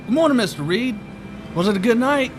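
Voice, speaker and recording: High-voiced, chirpy newspaper vendor. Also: male voice, expressive, brisk, over music. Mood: showy, cheerful. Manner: theatrical, cheery. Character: chirpy, friendly male newspaper vendor with showman's patter. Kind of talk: audio drama acting.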